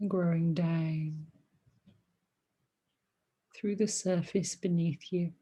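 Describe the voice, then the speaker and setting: A woman leading a guided meditation as a teacher, speaking softly and soothingly in short phrases with long pauses between them. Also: fairly low pitch, steady tone. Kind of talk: guided meditation, body scan.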